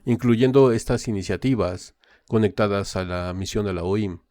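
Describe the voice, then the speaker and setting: A man's voice: fairly low pitch, dark tone. A man hosts a reaction video, translating a speech on the fly. He often hesitates and fills gaps with "eh" and "este". His voice sounds dry and close, with no room echo. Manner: hesitant, filling pauses with "eh" and "este" while translating. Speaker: reaction channel host. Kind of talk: casual live translation and commentary.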